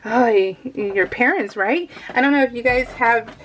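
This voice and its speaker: A woman's voice: a little high, unhurried, low-quality recording. Chatty, casual middle-aged woman. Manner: giggly, animated storytelling with quoted exchanges. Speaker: craft vlogger. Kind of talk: casual chatty voice-over while crafting.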